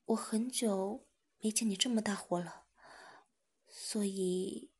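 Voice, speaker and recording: A woman voicing a gentle, caring young wife who speaks in a whisper. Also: mid-range voice, takes it slowly, breathy edge. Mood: soothing.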